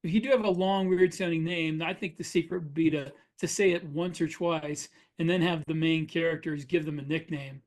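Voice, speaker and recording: A man with a fairly high voice chats casually as a podcast co-host. He talks about the craft of writing in a plain, conversational style. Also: steady tone, moderate pace, hardly any pauses. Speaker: podcast host and science fiction author.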